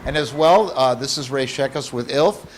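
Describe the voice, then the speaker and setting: A man's voice: mid-range voice, moderate pace, faint music behind, rough recording. A man hosting an interview, asking short upbeat questions and closing the segment. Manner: brief, upbeat prompts.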